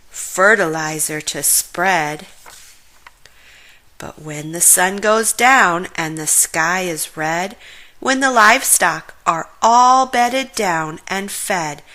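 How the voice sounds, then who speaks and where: A woman's voice: medium pitch, pushed and bright, full character voice. A woman voicing a friendly, proud, folksy farmer bear in a children's storybook, explaining things in rhyme.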